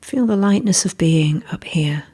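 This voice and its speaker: A woman with a soft, breathy, husky voice calmly narrating a scripted guided meditation in a gentle, measured way. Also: lowish voice.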